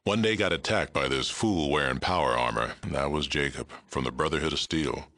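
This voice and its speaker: An aging mutant sheriff from a video game, speaks in an extraordinarily deep register. The voice is thick with gravel and has a hard, forceful edge. He is blunt and dry, a world-weary lawman who keeps things fair. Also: fairly quick, exaggerated voice. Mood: reflective, world-weary. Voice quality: gravelly, rumbling. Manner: blunt, terse, dry delivery. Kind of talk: video game character dialogue.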